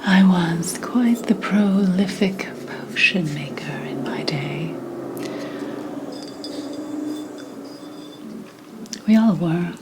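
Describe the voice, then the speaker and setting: A woman playing a wary, sardonic old witch in an ASMR roleplay, speaking in a breathy whisper mixed with soft, deliberate speech. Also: lowish voice, heavy background noise. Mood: conspiratorial, reminiscing. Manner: slow, deliberate, suspicious questioning with dramatic pauses. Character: wary, sardonic old 17th-century witch, potion maker.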